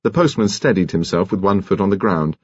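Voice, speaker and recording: A man's voice: sits low, expressive, a little fast, a little mellow, husky, dry recording, muffled recording. A man narrating a scripted story as an audiobook reader.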